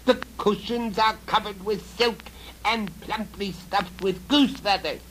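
A man voicing an impatient old male goblin in a fairy tale, with a high, shrill, shouting voice. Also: steady tone, slow, slight background noise, full character voice. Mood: indignant.

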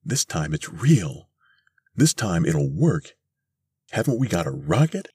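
A man's voice: low voice, sing-song, slow pace, a little mellow, breathy edge, no echo, exaggerated voice. A man voicing a fierce, indignant old lady in a storybook reading, demanding and crying out. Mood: hopeful.

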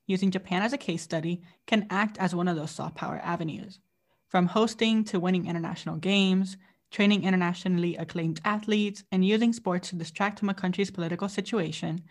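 A young woman co-hosting a student podcast, reading a prepared introduction in a measured way. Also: on the low side. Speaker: podcast co-host.

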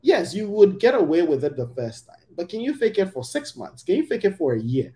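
A man hosts a relaxed podcast conversation with an expressive voice that rises and falls a lot. He speaks emphatically and keeps checking in with his listener. His delivery stays loose and conversational. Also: high-pitched, brisk. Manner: animated, emphatic, often asks "right?". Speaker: podcast host. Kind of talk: casual conversational podcast chat.

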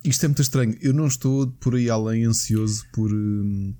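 A man's voice: on the low side, a little fast, dark tone. A man with a European Portuguese accent, co-hosting a podcast in an easygoing chat, often dropping "pá" and "epá". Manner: easygoing, frequent "pá" and "epá" fillers. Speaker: podcast co-host. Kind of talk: casual chat about video games.

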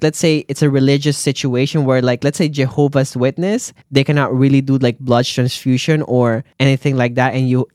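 A man hosting a podcast, reading listener stories aloud and reacting with animated exclamations and frequent laughter. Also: on the high side, no room sound, clean studio sound. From Philippines. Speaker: podcast host, nurse.

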